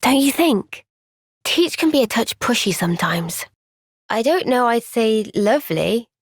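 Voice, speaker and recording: A soft-spoken Welsh young soldier. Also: female voice, a little high, very animated, a little slow, no echo, studio quality, exaggerated voice. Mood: gentle. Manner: soft-spoken, caring, thoughtful. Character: young woman soldier, gentle, kind and steady. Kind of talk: video game cutscene dialogue. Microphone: close studio microphone.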